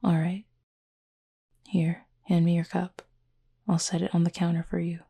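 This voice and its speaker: A woman playing a gentle, composed horned cupid roommate in an intimate roleplay audio, soft-spoken, measured and formally worded, tenderly teasing and reassuring. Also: lowish voice, flat delivery, exaggerated voice. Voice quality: soft, breathy, husky.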